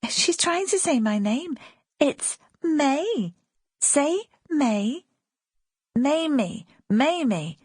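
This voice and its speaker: A woman narrator playing a gentle, affectionate young workhouse girl with a soft voice in an audiobook. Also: high voice, sing-song, drawn-out words, dry recording, clean studio sound, pitched above the performer's own voice, full character voice. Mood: fond, coaxing.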